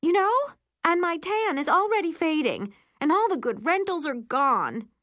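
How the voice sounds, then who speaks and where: A woman's voice: very high-pitched, very animated, normal speed, a bit bright, no echo, studio quality, voice pushed up, heavily acted. A woman voicing a self-absorbed, oversharing stepmother in her thirties, dramatic and complaining, in an audiobook. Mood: self-absorbed, gushy.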